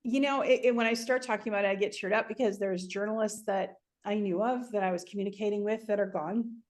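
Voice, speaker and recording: A woman hosting an interview, speaking emotionally and haltingly, with frequent restarts. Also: mid-range voice, fairly even intonation, darkish tone. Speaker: show host.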